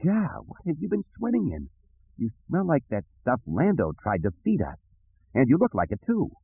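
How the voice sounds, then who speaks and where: A man voicing a sharp-tongued, exasperated young woman rebel with a sardonic, scolding manner in an audiobook. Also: medium pitch, huge pitch swings, normal speed, husky, narrow, tinny audio, voice pushed up, heavily acted. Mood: teasing disgust.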